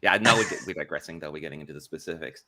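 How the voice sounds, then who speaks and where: A man hosting a casual online panel chat on a video call, talking in a lively way with lots of fillers, from a home room. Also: rumbling low, rapid-fire. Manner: lively, chatty, frequent "y'all" and fillers. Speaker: host. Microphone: studio mic on a video call.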